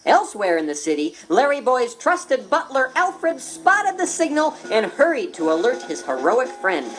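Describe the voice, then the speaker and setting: Expressive woman reading a storybook. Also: high voice, moderate pace. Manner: expressive storytelling, voicing every character. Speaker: storybook narrator. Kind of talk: scripted read-along narration. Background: background music.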